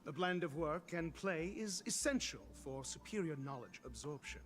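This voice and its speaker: Game role: male actor as condescending male academic, pompous and formal, higher-set voice with swooping intonation. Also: animated, normal speed, lightly acted. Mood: lecturing. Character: haughty, intellectual male scholar who lectures everyone. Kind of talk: video game voice acting. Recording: music playing behind.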